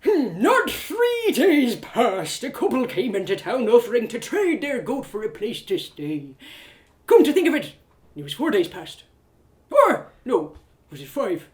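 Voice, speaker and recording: A woman playing a cheerful, forgetful old male innkeeper who rambles slowly in an acted audio story. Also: high voice, very animated. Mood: musing.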